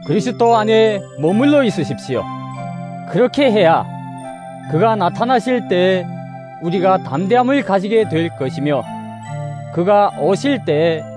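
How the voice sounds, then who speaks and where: Male narrator, high register, highly animated intonation, for solemn dramatic scripture reading over music. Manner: solemn, deliberate, exhortatory. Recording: music under the voice. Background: musical instrument backing.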